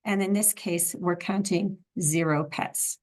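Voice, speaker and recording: A woman instructor with a froggy, hoarse voice, calmly explaining a software tutorial step by step. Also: lowish voice, unhurried, low-quality recording. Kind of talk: screen-recorded software tutorial.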